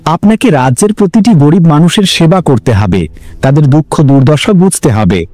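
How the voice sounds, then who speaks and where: A man's voice: fairly high pitch, lively intonation, normal speed, warm tone, no echo, natural voice. A man voicing a modest young woodcutter. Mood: firm. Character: poor, kind, clever young woodcutter man.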